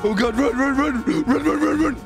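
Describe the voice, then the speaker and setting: An excitable man giving giggly gameplay roleplay commentary, often repeating himself. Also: very high-pitched, moderate pace, music in the background. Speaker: gaming video creator.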